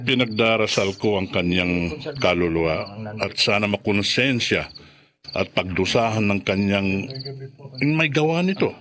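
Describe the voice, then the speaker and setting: A man's voice: fairly low pitch, a little slow, long pauses, crowd noise, natural voice. A man acting a solemn, grieving elderly grandfather in a Filipino radio horror drama. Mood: solemn, indignant. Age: older.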